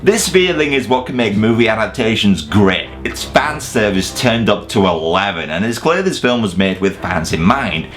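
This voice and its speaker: Low-pitched man, casual reviewer. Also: animated, normal speed, few pauses, music in the background. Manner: sweary, emphatic, self-deprecating humour. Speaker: video reviewer presenting a top ten list. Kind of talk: casual, opinionated scripted review narration.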